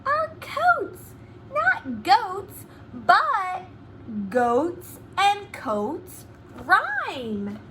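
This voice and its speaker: A woman preschool teacher reading aloud to young children, deliberate and expressive, with sing-song emphasis on key words. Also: very high voice, heavy background noise. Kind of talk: read-aloud storytime lesson for young children.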